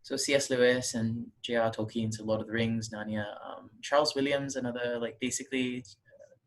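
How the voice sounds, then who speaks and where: A man's voice: medium pitch, fairly even intonation, normal speed, poor audio. A young man, an author being interviewed from home, talking casually with a rambling, self-deprecating manner. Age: young adult. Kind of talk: casual interview over a call. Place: home room with a bookshelf.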